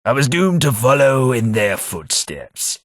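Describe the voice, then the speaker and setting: An actor playing a melancholy, formal old British former taxman in a video game, husky and measured in his wordy speech. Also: medium pitch, big pitch swings, dry recording, clean studio sound. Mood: earnest, reflective. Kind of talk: video game character dialogue.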